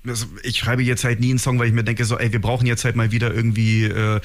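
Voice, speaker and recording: A man's voice: medium pitch, level tone, fast talker. A man from Kazakhstan, a rapper in his thirties, answering interview questions in a casual, rambling way with many fillers. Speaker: rapper in a band.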